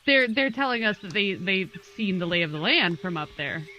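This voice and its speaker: A woman's voice: medium pitch, animated, moderate pace, highs cut off, crowd noise. A woman playing a tabletop roleplaying game, bantering casually and slipping in and out of character.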